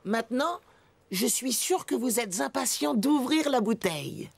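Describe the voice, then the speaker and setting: A woman voicing an excitable young male penguin in a children's cartoon, playful and full of exclamations. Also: on the high side, a little slow, creaky, breathy edge, no echo, full character voice. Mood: chirpy narrating. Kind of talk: children's cartoon dialogue and letter narration.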